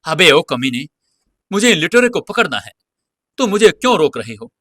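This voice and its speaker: A man voicing a fiery, defiant young policewoman in a dramatized audio story, forceful and commanding. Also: on the high side, very animated, normal speed, dry recording, pitched above the performer's own voice. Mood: angry, indignant.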